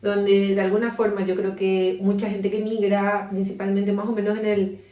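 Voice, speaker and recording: A young woman with a Paraguayan accent chats casually as a podcast co-host. Her voice sits at an ordinary pitch. The recording is roomy and echoey, a little far from the mic. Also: little pitch movement, normal speed, warm tone. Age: 20s. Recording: roomy echo, distant from the mic.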